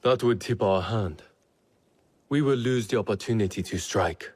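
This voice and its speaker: An actor playing a resolute, measured samurai lord in a video game, speaking formally and gravely. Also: male voice, fairly low pitch, a little mellow, no echo. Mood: firm, resolute. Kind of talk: dramatic video game acting.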